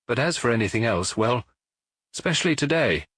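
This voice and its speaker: A man doing a chatty, confiding bar proprietor, pitched up. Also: very animated, a little fast, fairly bright tone, breathy edge. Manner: familiar, confiding. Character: chatty, knowing male dance-hall proprietor behind his bar. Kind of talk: audiobook character dialogue.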